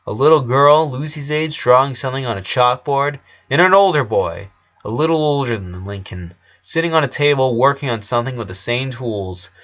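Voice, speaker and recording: A man's voice: medium pitch, animated, normal speed, a bit bright, lo-fi sound. A man reading scripted story narration as the narrator.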